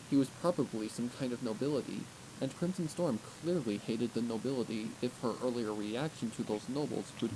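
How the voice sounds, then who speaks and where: A man reading first-person fiction aloud as an audiobook narrator. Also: medium pitch. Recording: clearly noisy recording.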